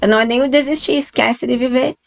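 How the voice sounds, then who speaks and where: Gravelly-voiced woman with Italian-tinged southern accent. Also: sits high, steady tone, fast talker. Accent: Italian-influenced southern Brazilian Portuguese. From southern Brazil, Italian-settled region. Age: 40s. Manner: chatty, emphatic, tag questions. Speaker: guest nutrition speaker. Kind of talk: casual radio podcast interview. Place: radio studio.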